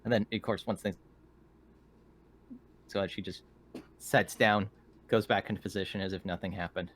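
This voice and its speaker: A man's voice: low voice, fairly even intonation, moderate pace, fairly bright tone. A man taking part in a tabletop roleplaying game, talking casually and describing his character's actions.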